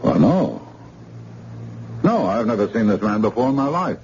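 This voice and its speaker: An actor playing a brisk, matter-of-fact surgeon in an old-time radio drama. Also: male voice, on the low side, very animated, darkish tone. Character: calm male surgeon, brisk and assured. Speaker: doctor. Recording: hissy, muffled old radio recording.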